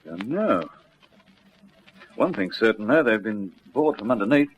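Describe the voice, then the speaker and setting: An actor playing a dry, wry police officer assisting a sergeant in a classic radio detective drama. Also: male voice, fairly low pitch, lively intonation, unhurried, slightly rough. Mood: dry, pondering. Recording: muffled, noisy vintage radio recording.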